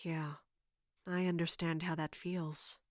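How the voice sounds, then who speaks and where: An actress playing a supportive captain in an audio drama, consoling a comrade in a measured, gentle, reassuring way. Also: female voice, deep voice, flat delivery, no room sound, studio quality. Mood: sympathetic.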